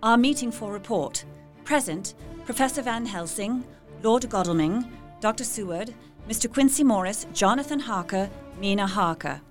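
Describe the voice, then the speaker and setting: An actress playing a composed young Victorian wife, reading meeting notes in crisp, formal speech. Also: female voice, a little high, expressive, moderate pace, faint music behind, natural voice. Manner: crisp, formal minute-taking. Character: Mina Harker, composed young Victorian wife taking minutes. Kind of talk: audio drama diary narration.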